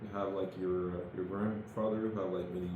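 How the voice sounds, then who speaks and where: Interview guest: man, low voice, slow and searching, very echoey room with steady background noise. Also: lo-fi sound. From Senegal. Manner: unhurried, searching for words. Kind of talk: casual interview chat.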